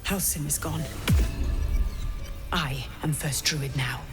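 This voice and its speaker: A woman's voice: low voice, takes it slowly, loud music behind the voice. An actress playing a stern, ruthless female elf druid leader in a video game, with a cold, commanding, threatening manner. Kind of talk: video game cutscene acting.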